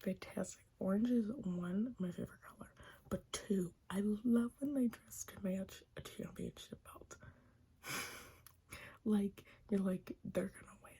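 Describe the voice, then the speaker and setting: Young woman, breathy whisper, slow, mellow, giggly, gushing fan recap. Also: medium pitch. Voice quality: soft whisper, frequent giggles. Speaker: wrestling recap host. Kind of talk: whispered ASMR wrestling recap.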